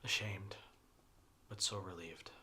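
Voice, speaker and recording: A man in his sixties confesses a past mistake in a quiet, half-whispered voice. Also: low-pitched, steady tone, slow pace, husky. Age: 60s. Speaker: interviewee. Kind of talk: film dialogue.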